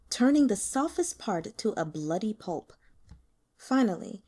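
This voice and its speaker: A woman narrating a novel as an audiobook reader, in an expressive scripted style. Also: mid-range voice.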